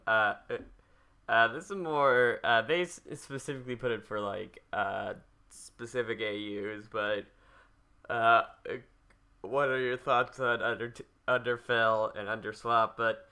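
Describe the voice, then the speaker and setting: A man hosting a podcast and casually reading out questions in a halting, hesitant way, often repeating words. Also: medium pitch, steady tone, pushed and bright, clear, resonant tone. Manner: halting, hesitant, stammering repetitions.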